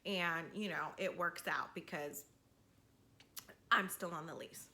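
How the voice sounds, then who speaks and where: Bright-voiced, sassy woman storyteller. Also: on the low side, takes it slowly. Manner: animated, sassy, slangy with frequent swearing; checks in with "right?" and "you know". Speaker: storytime video host. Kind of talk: casual, gossipy storytelling.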